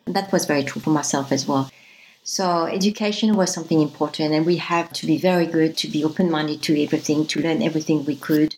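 A woman's voice: low voice, a little mellow, slight background noise, poor audio. A woman in her fifties, raised in France, telling her family story in an earnest, reflective way as an interview guest. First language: French. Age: 50s. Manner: starts many sentences with "so", earnest and reflective.

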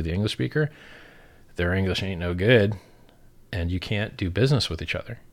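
A man giving an opinionated, casual explanation, blunt and emphatic, repeating himself and swearing freely. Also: deep voice.

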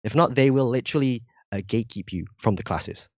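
A man's voice: medium pitch, fairly quick, darkish tone, no echo, highs cut off. Young Indonesian man, slightly British accent. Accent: slightly British-sounding English. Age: young adult. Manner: earnest, explanatory, frequent "like" and "right?". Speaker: podcast guest, university student. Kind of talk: casual interview chat.

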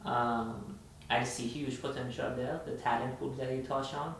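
A man's voice: mid-range voice, steady tone, unhurried, fairly bright tone, slight background noise. A man from Iran, co-hosting a casual podcast chat and talking hesitantly with many fillers and restarts. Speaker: podcast co-host. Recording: very echoey room, distant mic.

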